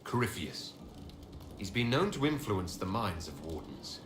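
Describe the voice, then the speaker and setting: An actor playing a composed, commanding leader in a fantasy video game, asking direct questions. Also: male voice, low-pitched, sing-song, a little slow. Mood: probing. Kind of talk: video game dialogue acting. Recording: roomy echo, clear background noise.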